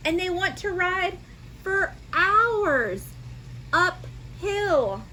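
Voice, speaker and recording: A woman's voice: very high voice, very animated, very slow, pushed and bright, slight background noise, voice pushed up, heavily acted, low-quality recording. A woman voicing a stubborn, huffy young donkey in a children's storybook, complaining in an exaggerated, indignant way. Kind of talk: children's storybook read-aloud.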